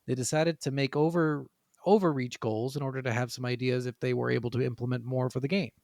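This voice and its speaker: A man's voice: mid-range voice, fairly even intonation, fast, gravelly, darkish tone, dry recording, clean studio sound. A man co-hosting a casual gaming podcast, chatting and reading from notes with frequent casual swearing. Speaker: podcast co-host. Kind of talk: casual video game podcast chat, partly reading from notes.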